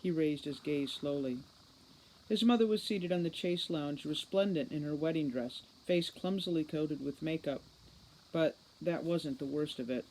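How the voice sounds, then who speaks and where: A woman reading an audiobook novel aloud in an expressive storytelling style. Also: low voice, moderate pace, low-quality recording. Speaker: audiobook reader. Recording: faint background noise.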